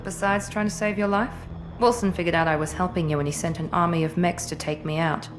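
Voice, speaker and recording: Game role: actress as a composed, lower-voiced woman agent, dry and condescending, music bed behind. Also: steady tone, brisk, close to an everyday voice. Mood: cool. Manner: cool, dry, faintly condescending. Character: cool, clipped woman officer, confident and cold. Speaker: Cerberus project director. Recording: game audio with music score.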